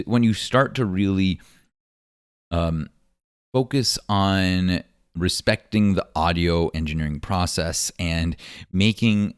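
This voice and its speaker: A man with a deep baritone voice, hosting his own podcast and musing earnestly with many ums and likes. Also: smooth, no room sound, studio quality. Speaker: podcast host. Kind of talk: solo podcast monologue. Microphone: podcast microphone.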